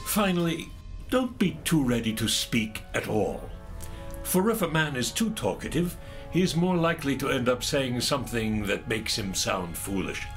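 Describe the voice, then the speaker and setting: An actor playing a kindly, courteous older knight mentor in an audio drama, giving measured, courtly instruction. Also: male voice, medium pitch, big pitch swings, a bit bright, faint music behind. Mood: gently admonishing. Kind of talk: audio drama acting of a medieval legend.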